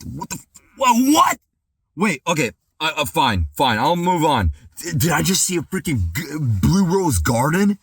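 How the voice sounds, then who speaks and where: A man doing excited reaction commentary, clearing his throat as he recovers from being sick and saying dude and bro a lot. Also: on the high side, measured pace, no echo, studio quality. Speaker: music reaction YouTuber.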